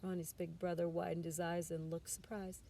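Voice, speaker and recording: Female storybook reader, teacher style: dark, soft-edged tone, expressive narration, somewhat distant and noisy recording. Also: lowish voice, normal speed, vocal fry. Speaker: teacher reading a storybook aloud. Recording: distant mic with background noise.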